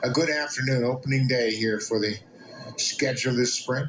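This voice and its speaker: A man's voice: medium pitch, normal speed, fairly bright tone. Male baseball color commentator, roomy, noisy sound. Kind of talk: conversational live baseball commentary. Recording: roomy echo with clear background noise.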